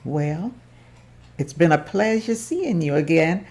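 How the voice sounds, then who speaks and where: A woman reading the part of a determined community leader in an amateur table read, earnest and steady. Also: low-pitched, slow pace, heavily acted. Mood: polite.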